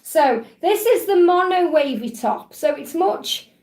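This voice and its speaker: A woman's voice: extremely high pitch, lively intonation, measured pace, darkish tone. A woman in her forties chatting casually and upbeat as host of a live video, reading out viewer comments as she goes. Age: 40s. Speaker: livestream host. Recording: echoey room.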